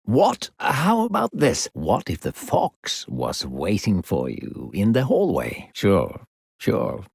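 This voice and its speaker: Deep gravelly sinister game narrator. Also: male voice, huge pitch swings, slow, darkish tone, dry recording, clean studio sound, exaggerated voice. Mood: irritated. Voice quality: gravelly, husky. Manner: falsely sweet, theatrical, condescending, controlling. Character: smooth-talking sinister demon narrator coaxing a child. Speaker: unseen narrator voice in a video game. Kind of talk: theatrical game character narration.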